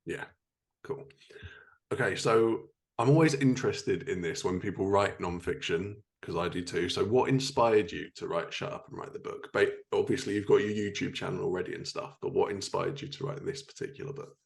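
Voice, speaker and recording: A man's voice: deep voice, moderate pace, vocal fry. A man hosting a podcast, chatting casually as he interviews a guest about writing. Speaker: podcast host and fellow writer.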